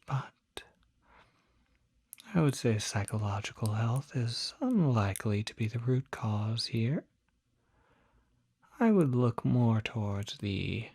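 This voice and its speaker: A performer voicing a calm, courteous demon doctor in an ASMR roleplay, whispering softly with a measured, reassuring, formal bedside manner. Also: mid-range voice, no room sound, clean studio sound, heavily acted. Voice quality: breathy, whispery.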